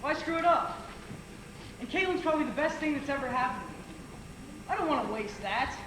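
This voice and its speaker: A brash, goofy young guy acted by a young man in a stage comedy, declaiming like a mock preacher. His high voice swings around freely, echoing in a noisy theater recording. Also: animated, a little fast. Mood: comic, preachy. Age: young adult. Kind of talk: amateur stage comedy acting. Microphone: distant audience camcorder. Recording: echoey stage, noisy camcorder audio.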